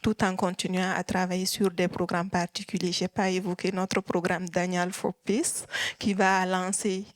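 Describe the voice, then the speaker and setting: A woman's voice: on the low side, little pitch movement, moderate pace, no echo. Earnest woman in her thirties, dry sound. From Sahel region of West Africa. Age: 30s. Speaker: activist and foundation head, panel speaker. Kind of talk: public testimony at a panel talk.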